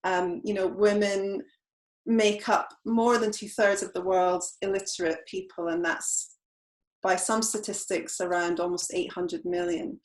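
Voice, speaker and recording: A woman's voice: medium pitch, steady tone, measured pace. A woman from the UK with a British accent, giving an informal, reflective presentation as a charity representative and explaining as she goes. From United Kingdom. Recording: roomy, echoing sound.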